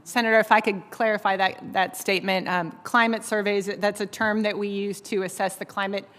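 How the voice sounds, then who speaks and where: A woman's voice: medium pitch, little pitch movement, brisk, fairly bright tone. An American woman giving formal testimony as a government official. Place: large indoor hearing room. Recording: reverberant hearing room.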